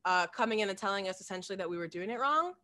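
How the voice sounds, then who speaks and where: Bright-voiced chatty young woman. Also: medium pitch, expressive, very fast. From Des Moines, Iowa. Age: 20s. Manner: chatty, laughs often, many "like" fillers. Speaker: city council candidate. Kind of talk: casual interview answers.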